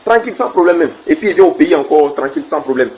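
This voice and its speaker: A man's voice: animated, fast talker, darkish tone, poor audio. A young man with an Ivorian French accent, raised in Côte d'Ivoire, giving a heated, repetitive rant on a video he recorded himself. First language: Burkina Faso roots, raised in Côte d'Ivoire. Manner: heated, repetitive, insistent, swings up in pitch when worked up. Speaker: TikTok video maker. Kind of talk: impassioned self-recorded rant.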